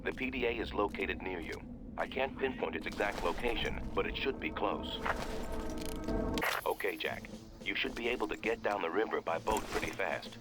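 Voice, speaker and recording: A man playing a brisk, dry mission handler giving instructions in a video game. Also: deep voice, expressive, loud music behind the voice. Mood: dry, instructive. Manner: businesslike, dry, urgent instructions.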